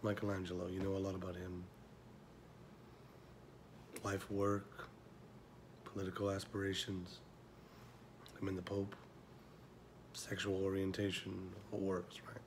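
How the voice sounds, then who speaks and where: Film drama monologue: man playing wounded older mentor, lowish voice, restrained intonation, measured pauses, quietly confronting. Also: completely flat delivery, slight background noise. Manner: measured, pausing, drops to near whisper.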